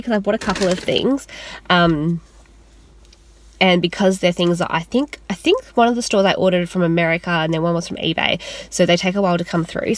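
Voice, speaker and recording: Aussie girl in her car, lowish voice, chatty and rambly, lots of ums, dashboard cam. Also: slight background noise. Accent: Australian. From Australia. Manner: chatty, rambling, many fillers and restarts. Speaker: lifestyle vlogger. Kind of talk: casual chat. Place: parked car, indoors. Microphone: camera on the dashboard.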